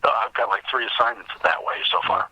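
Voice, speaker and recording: An American man talking casually as an interview guest, halting and rambling as he repeats words, over what sounds like a call. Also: on the low side, sharp tone, husky, no room sound, rough recording. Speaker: podcast guest, writer and interviewer. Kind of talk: casual conversational interview talk.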